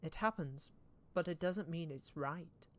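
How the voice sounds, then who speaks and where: A British man streaming a game, chatty and quick to laugh as he talks to his viewers. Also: on the high side. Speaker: livestreamer. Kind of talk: casual gaming stream chat.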